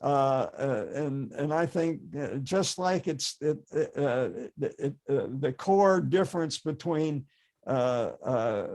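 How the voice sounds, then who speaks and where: An older man giving an informal history lecture, halting often with fillers and restarts. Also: fairly high pitch, a little mellow.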